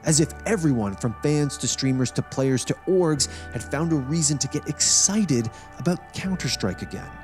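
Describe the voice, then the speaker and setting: A man's voice: fairly high pitch, animated, moderate pace, hardly any pauses, darkish tone. A man narrating an esports documentary in a polished, dramatic storytelling style. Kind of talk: scripted narration. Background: background music.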